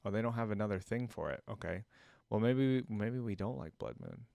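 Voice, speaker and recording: A man's voice: fairly low pitch, animated, a little fast, gravelly, darkish tone, no echo, studio quality. A man hosting a reaction video, thinking aloud and talking to viewers in an informal, slangy, chatty way.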